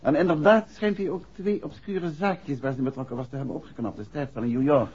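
Casting: unflappable, polite sleuth in 1960s radio serial; slightly rough voice, muffled old recording. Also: male voice, on the high side, brisk, a little mellow. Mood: composed, courteous. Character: composed, courteous male amateur detective, briskly businesslike. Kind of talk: 1960s radio play acting.

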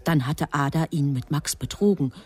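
A woman's voice: deep voice, normal speed, darkish tone, breathy edge. A woman performing as the grave, composed narrator of a literary radio drama. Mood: grave, tense. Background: music.

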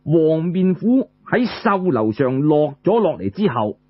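A man's voice: a little high, big pitch swings, a little slow, dry recording. A man with a Cantonese accent telling a traditional story in a dramatic radio style, putting questions to listeners. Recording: dull lo-fi radio recording.

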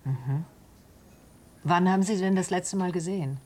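An actress playing a sober, pragmatic female private detective in a radio drama, husky and matter-of-fact. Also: lowish voice, normal speed, mellow, lightly acted. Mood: reassuring.

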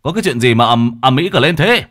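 A man's voice: medium pitch, expressive, fast, fairly bright tone. A man voicing a hot-tempered village husband in an audio story, blustering, coarse and stammering. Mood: outraged.

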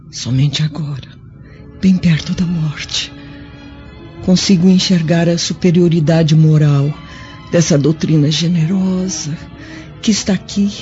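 An ageing, dying Roman noblewoman in a radio drama. Her mellow delivery is very slow, solemn and humble, heavy with remorse. Music plays under the dull recording. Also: deep voice. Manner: solemn, halting, formal. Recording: dull lo-fi audio with music behind.

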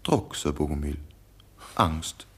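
A man's voice: rumbling low, animated, slow, breathy edge, full character voice. An actor playing a confident detective in a radio drama who accuses his opponent in a dry, defiant way. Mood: accusing. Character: sharp-witted male detective, confident.

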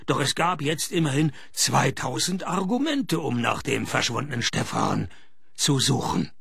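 An actor playing a wisecracking, hard-up private detective in a radio play, with dry deadpan delivery. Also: male voice, medium pitch, a little slow, creaky, breathy edge, exaggerated voice. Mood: wry narrating. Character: wisecracking, cash-strapped male private detective. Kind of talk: radio play dialogue and first-person noir narration.

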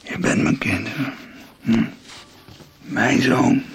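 An actor in a radio soap playing a weary, dying older writer, exhausted and breathy, speaking tenderly as a father. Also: lowish voice, drawn-out words, a little hiss or hum, exaggerated voice. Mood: tearful tenderness. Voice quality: breathy, raspy whisper breaking into voice. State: exhausted, weakened, whispery.

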